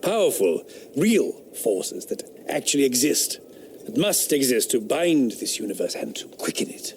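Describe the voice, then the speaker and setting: A man's voice: mid-range voice, big pitch swings, moderate pace, a little mellow, a little hiss or hum, lightly acted. A man playing a scornful Georgian philosopher. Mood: sardonic. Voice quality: scornful, declamatory. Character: sardonic 18th-century philosopher, scornful, rationalist man. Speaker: actor in radio drama. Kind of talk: period radio drama acting.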